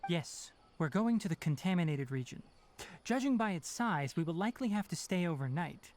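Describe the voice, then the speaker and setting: A man voicing a young, calm and pragmatic fox-eared ranger mentor in a video game, speaking in a measured, precise, articulate way. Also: high-pitched, slight background noise. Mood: composed, reassuring.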